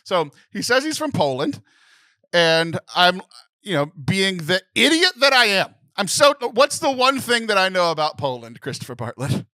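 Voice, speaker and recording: A young man co-hosting a podcast, telling a story in a lively, animated way. He laughs often and mimics other people's voices. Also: high voice, moderate pace, no echo. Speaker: podcast co-host.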